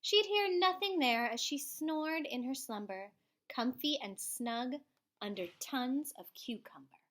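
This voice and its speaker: Slow, animated woman reading a storybook. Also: high voice. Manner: theatrical, drops to hushed tones and swells. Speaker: elementary school librarian reading aloud. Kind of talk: expressive children's storybook read-aloud.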